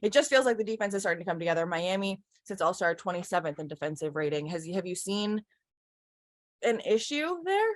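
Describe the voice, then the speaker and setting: A woman's voice: lowish voice, very animated, a little fast. A woman hosting a casual sports interview, talking in a direct, probing way. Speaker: sports show host, interviewer.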